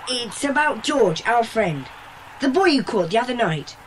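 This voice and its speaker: A woman's voice: on the high side, sing-song, normal speed, poor audio. A woman playing a confident, decisive schoolboy leader with a well-spoken British accent in a children's audio drama. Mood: earnest, insistent.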